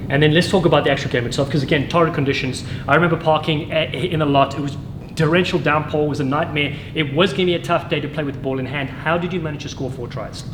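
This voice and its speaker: A man's voice: fairly high pitch, steady tone, fast talker, reverberant, background noise. A man with a South African English accent hosting an upbeat sports interview, asking punchy questions. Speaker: host and rugby commentator.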